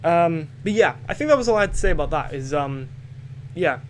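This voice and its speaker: Hesitant teen lad, light Scottish accent. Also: male voice, fairly high pitch, animated, fairly quick, slight background noise. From Scotland. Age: teens. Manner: hesitant, lots of fillers and restarts. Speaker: podcast host. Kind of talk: casual rambling monologue. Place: at home.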